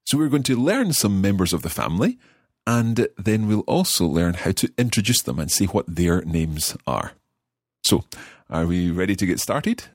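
A man hosting a language podcast lesson, speaking clearly and patiently and repeating phrases slowly. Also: low voice, big pitch swings, dry recording, studio quality. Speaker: language course host. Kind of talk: scripted podcast lesson chat.

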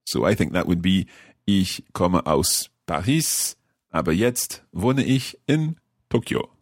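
A man hosting a language podcast lesson, speaking clearly and patiently and repeating phrases slowly. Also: sits low, very animated, dry recording, clean studio sound. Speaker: language course host. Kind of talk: scripted podcast lesson chat.

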